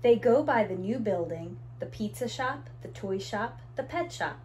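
A woman in her thirties reading a picture book aloud to young children, with deliberate, expressive phrasing. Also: medium pitch, a little hiss or hum. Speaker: preschool teacher, story time host. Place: small room indoors with bookshelves.

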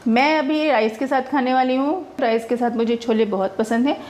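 A woman's voice: high voice, moderate pace, noisy background. A woman narrating her own cooking video step by step, chatty and homely, explaining each step as she cooks in her home kitchen. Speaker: cooking vlogger.

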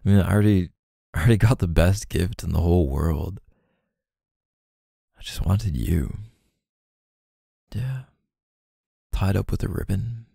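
A man performs a doting, apologetic boyfriend in an ASMR roleplay. His very deep voice is mellow and unhurried, with a tender, halting delivery close to the microphone. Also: gravelly, breathy edge, no room sound, full character voice. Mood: affectionate, playful. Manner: tender, halting, sighing between phrases.

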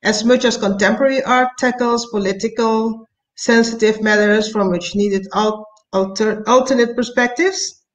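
An older woman from Curaçao hosting an interview over a video call, speaking hesitantly with many pauses and rephrasings. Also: a little high, steady tone. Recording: dull lo-fi call audio.

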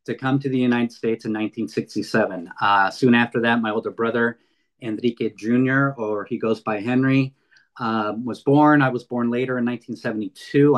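A man in his fifties from California's San Fernando Valley, giving a heartfelt webinar talk over a video call with many fillers and restarts. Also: medium pitch. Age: 50s. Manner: earnest, frequent fillers and restarts. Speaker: guest speaker. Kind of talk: webinar presentation.

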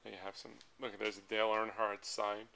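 A man's voice: on the low side, completely flat delivery, fairly quick, sharp tone. An American man giving a casual, off-the-cuff walk-through as an auction presenter, listing items in a rambling way as he walks, recorded on a handheld camera. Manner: rambling item-by-item listing while walking. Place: large indoor auction hall full of tables. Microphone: handheld camera, held by speaker behind it. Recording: handheld camera audio with clear background noise.

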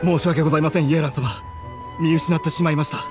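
A man's voice: on the high side, steady tone, fast talker, over music, close to an everyday voice. A man playing a dutiful young intelligence subordinate in an anime game, polite, earnest and formal, as if giving a report. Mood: apologetic.

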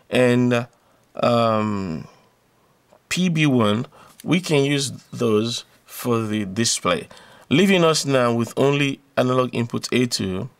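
A man's voice: mid-range voice, no room sound. A man giving an informal electronics tutorial, explaining step by step and repeating phrases for emphasis. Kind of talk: informal explanatory tutorial, talking through a diagram.

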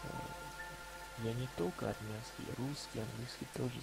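A man from Armenia with an Armenian accent, speaking Russian as a second language in a halting voice chat about faith, full of pauses and restarts. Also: medium pitch, steady tone, gravelly, over music, rough recording. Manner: halting, many fillers and restarts. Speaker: chat participant. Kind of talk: casual religious discussion. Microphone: walkie-talkie voice chat app.

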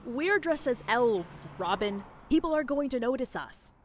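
An anxious, doubtful woman, played by an actress in an audio drama. Her high voice moves up and down a lot as she hesitates, with noise behind her. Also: big pitch swings, unhurried. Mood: skeptical. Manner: worried, hesitant. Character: anxious, doubtful mother reluctant to join a heist.

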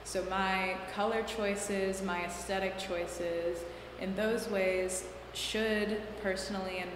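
A young woman artist speaking in a thoughtful, academic, unhurried way in an interview, recorded in a gallery. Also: fairly low pitch. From United States. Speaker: artist interviewed about her show. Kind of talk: reflective interview answers. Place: gallery with hard walls. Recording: echoey gallery room, noisy.

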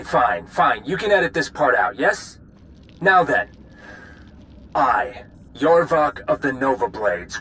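Brazen male pirate boss for a game: slow, forceful, offhand bluster. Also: mid-range voice, slight background noise, low-quality recording. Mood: irritated. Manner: blustering, offhand, slow delivery with self-interruptions. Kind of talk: video game voice acting.

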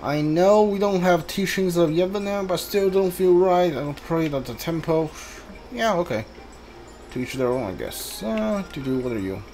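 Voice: medium pitch, expressive, normal speed, a little mellow, a little hiss or hum. A video game streamer giving casual commentary and reading on-screen dialogue aloud, muttering informal asides. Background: video game ambience.

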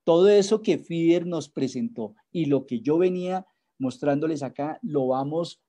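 A man walks listeners through an online business presentation, heard through a computer mic on a video call. His voice sits a bit high yet mellow. He keeps asking rhetorical questions to persuade. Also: normal speed. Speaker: sales presenter.

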